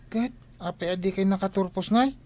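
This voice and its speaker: An actress playing an affectionate, admiring young daughter in an audio drama. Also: female voice, mid-range voice, a little fast, dark tone, lightly acted. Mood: comforting. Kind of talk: Ilocano audio drama acting.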